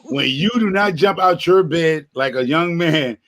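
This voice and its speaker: A man's voice: a little high, measured pace, a bit bright. Folksy man in his fifties. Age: 50s. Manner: folksy, drawling storyteller. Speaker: chat participant. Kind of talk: casual group chat.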